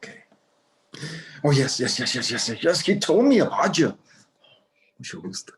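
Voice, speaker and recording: Casting: male actor as elderly Mexican-American rancher dad, stubborn yet tender, widely swinging intonation, halting, bits of Spanish, screen drama. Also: fairly high pitch, sing-song. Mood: flustered, courteous. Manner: halting, repeating words, dropping in Spanish. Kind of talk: screenplay table read acting.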